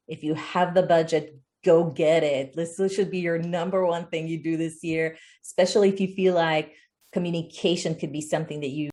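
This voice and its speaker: A woman's voice: on the low side, a little mellow. A woman hosting a video interview in a conversational style, with casual, informal phrasing. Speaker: interview host.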